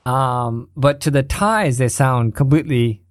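A man from Canada in casual conversation, telling stories in an animated way and laughing easily. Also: medium pitch, a little slow, no room sound, studio quality.

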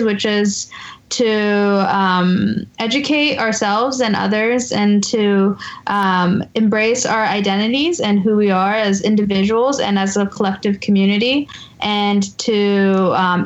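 A woman guest explains things at a steady pace in an interview. Her sound is slightly dull and lo-fi. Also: medium pitch. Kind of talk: casual interview chat.

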